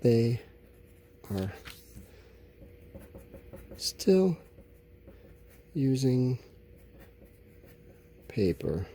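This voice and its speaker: A man's voice: medium pitch, sing-song, very slow, long pauses, warm tone, slight background noise. A man teaching a school lesson, talking informally and explaining as he writes out answers, drawing out his words. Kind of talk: instructional lesson, thinking aloud while writing answers.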